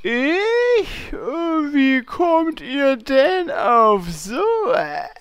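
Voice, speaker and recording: Whiny, slow-talking, high-pitched dimwit. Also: female voice, sing-song, no echo, full character voice. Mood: confused. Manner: whiny, hesitant, simple-minded. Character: childish, dim, naive sidekick Horst, easily confused. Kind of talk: parody audio drama acting.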